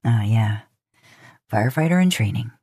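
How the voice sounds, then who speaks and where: Woman voicing a low-pitched, teasing young man. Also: animated, normal speed, warm tone, slightly rough, no room sound, studio quality, heavily acted. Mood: modest.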